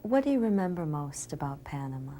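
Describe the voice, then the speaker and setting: A woman's voice: low-pitched, big pitch swings, fast talker, warm tone, slight background noise. A woman interviewer asking short, plain scripted questions.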